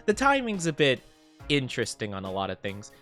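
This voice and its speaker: A young man streaming from home, chatting casually with viewers in a soft, rambling way full of fillers, sounding sniffly and stuffed up. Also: fairly high pitch, wildly swooping pitch, measured pace, soft background music.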